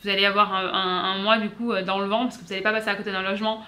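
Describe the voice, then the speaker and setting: A woman from south-east France with a French accent, giving casual vlog advice in a chatty, halting way with frequent self-corrections. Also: medium pitch, level tone, sharp tone. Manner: chatty, hesitant, self-correcting with many pauses. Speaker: vlogger. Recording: roomy echo.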